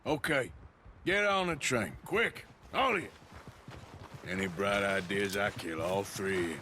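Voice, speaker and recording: An actor playing a tough, terse male outlaw gunman in a game cutscene, gruff and blunt. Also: lowish voice, lively intonation, unhurried, bright, forceful tone, slight background noise. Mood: threatening, barking. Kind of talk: video game cutscene acting.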